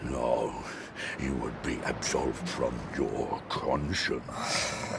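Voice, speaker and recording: An actor playing an anguished, vengeful created monster in a dramatised audiobook, with theatrical delivery. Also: male voice, very deep voice, vocal fry, noisy background, heavily acted. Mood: menacing. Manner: slow, theatrical delivery, swinging from pleading cries to menacing whispers.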